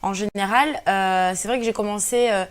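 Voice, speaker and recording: A woman's voice: mid-range voice, fairly even intonation, moderate pace, clear, resonant tone. A woman in her twenties from Algeria, a native Berber speaker, answering interview questions earnestly with many hesitations and fillers. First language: Berber (Kabyle). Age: 20s. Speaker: guest activist.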